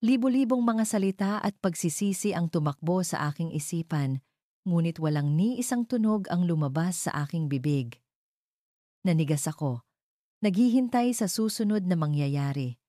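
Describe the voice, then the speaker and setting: A woman narrating a scripted first-person drama in measured, suspenseful phrases. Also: lowish voice, expressive, warm tone, no echo, clean studio sound. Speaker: story narrator.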